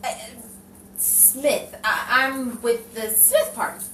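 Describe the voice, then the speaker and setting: A woman's voice: a little high, steady tone, slow. A woman playing a plucky, wry 1940s newspaper reporter in a noir radio play, with theatrical, musing delivery. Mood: nervous. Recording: echoey, distant, noisy room recording.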